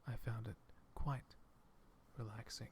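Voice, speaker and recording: A man voicing a calm, kindly male dragon in a soft-spoken ASMR roleplay, speaking slowly and soothingly with a gravelly whisper close to the mic. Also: medium pitch, slight background noise, natural voice. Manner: slow, hushed, comforting. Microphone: close sensitive ASMR mic.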